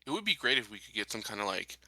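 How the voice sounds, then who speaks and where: Bright, forceful low-voiced man gaming. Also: sing-song, rapid-fire, no echo, low-quality recording. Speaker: tabletop game player. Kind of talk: casual in-game strategy chat.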